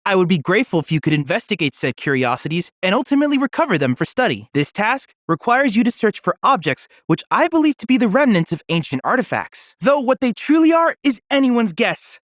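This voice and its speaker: A woman's voice: medium pitch, sing-song, brisk, few pauses, no room sound, studio quality. A woman playing a polite, eager boyish android research assistant in a video game, speaking in a formal, precise, bookish way. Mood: polite, instructive. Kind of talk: scripted video game voice lines.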